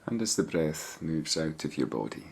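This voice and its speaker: A man with an extremely low, slightly husky voice guides a meditation. He speaks in a gentle, measured way, leaving pauses between short phrases. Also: very animated. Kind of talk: guided body scan meditation.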